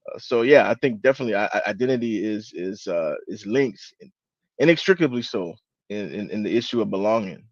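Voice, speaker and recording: A man giving his thoughts as a book club panellist, reflective and halting, often restarting his words. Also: lowish voice, expressive, no echo. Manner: halting, repeats word beginnings. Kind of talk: reflective literary discussion. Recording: dull, muffled lo-fi audio.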